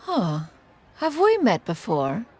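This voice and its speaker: A woman's voice: mid-range voice, huge pitch swings, slow, darkish tone, slight background noise, full character voice. A woman voicing an elegant immortal adept who speaks formally in an old-fashioned way and calls herself "one", in a game cutscene. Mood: composed. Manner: formal, archaic speech, refers to herself as one. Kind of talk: video game voice acting.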